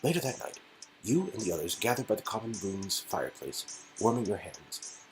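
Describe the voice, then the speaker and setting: A man's voice: fairly low pitch, big pitch swings, moderate pace, slight background noise, rough recording. A man reading out an interactive story game as narrator, in a casual second-person storytelling style. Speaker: reader and narrator of game dialogue.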